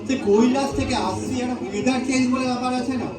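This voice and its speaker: A man's voice: very high-pitched, fairly even intonation, quick pace, very noisy. A man playing a grumbling, reluctant god husband and father in an amateur comic stage play. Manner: grumbling, exasperated. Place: stage in a large hall. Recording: echoey stage hall, distant mic, loud music, audience.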